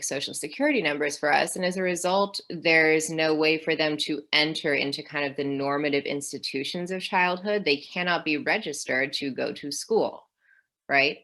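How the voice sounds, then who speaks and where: A woman's voice: deep voice, bright, forceful tone. A woman in her thirties, a historian guest in an interview, talking through ideas thoughtfully with frequent ums and restarts. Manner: thoughtful, with many fillers. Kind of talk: academic interview.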